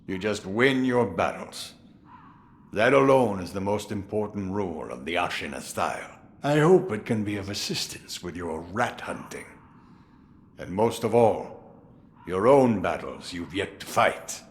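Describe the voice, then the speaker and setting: An actor playing a crafty, jovial old samurai sword master in a video game, musing theatrically with drawn-out amusement. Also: male voice, fairly low pitch, lively intonation. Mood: instructive, hearty. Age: older. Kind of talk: dramatic video game voice acting.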